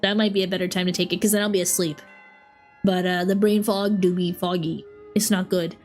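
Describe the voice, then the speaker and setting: A woman's voice: on the low side, level tone, brisk, darkish tone, soft background music. A sick, sniffly young woman rambling and giggling in casual livestream chat at home. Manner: rambling, giggly, self-interrupting. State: sick with a cold. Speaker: streamer.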